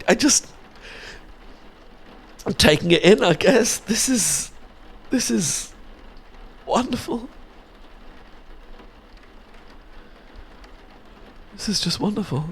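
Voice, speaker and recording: A British man acting a nervous, smitten young man in a romantic roleplay, stammering and halting earnestly as he talks. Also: high-pitched, creaky, fairly bright tone, heavily acted. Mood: overjoyed, breathless. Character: nervous, smitten young man confessing to his best friend.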